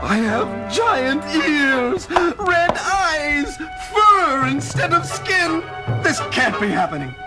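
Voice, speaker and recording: A man playing a horrified scientist turned half-bat monster, anguished and drawn-out, in a children's audio drama. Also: big pitch swings, heavily acted. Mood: anguished horror. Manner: anguished, drawn-out, high-strained delivery. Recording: very loud music behind.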